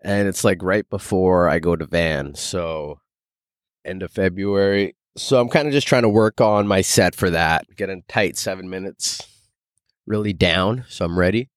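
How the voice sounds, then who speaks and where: A man's voice: low-pitched, a little slow, dry recording, clean studio sound. A man hosting a solo podcast, rambling casually and loosely in informal, sweary language like an amateur comedian.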